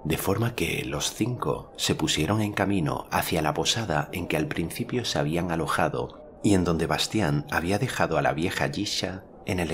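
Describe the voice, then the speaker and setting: Very deep-voiced Spanish male narrator. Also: a bit bright, slight background noise. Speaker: audiobook narrator. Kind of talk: scripted literary narration. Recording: music clearly behind the voice.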